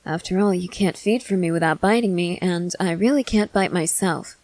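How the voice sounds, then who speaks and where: A woman's voice: lowish voice, normal speed, darkish tone, dry recording, lightly acted. A woman playing a confident, experienced vampire who coaxes and reassures in a matter-of-fact way, in an ASMR roleplay. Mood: matter-of-fact, teasing. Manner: matter-of-fact, coaxing, assured.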